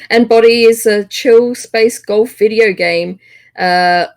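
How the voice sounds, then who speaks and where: A woman's voice: medium pitch, a little mellow. A woman with a British accent co-hosting a podcast, chatting casually and appreciatively about video games. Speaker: podcast co-host. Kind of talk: casual video game discussion.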